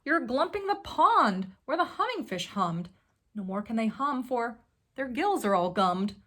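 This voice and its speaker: Woman doing the Lorax, croaky and scolding. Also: fairly high pitch, very animated, measured pace, fairly bright tone, voice pushed up, full character voice. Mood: indignant. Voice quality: croaky, coughing. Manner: scolding, indignant. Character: small grumpy male forest creature, scolding.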